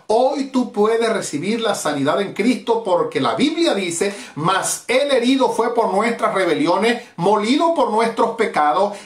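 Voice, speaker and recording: A man's voice: very high-pitched, echoey room. A middle-aged Venezuelan man preaching a fervent evangelistic sermon as pastor, with emphatic repetitions. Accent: Venezuelan Spanish. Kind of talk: evangelistic sermon streamed live.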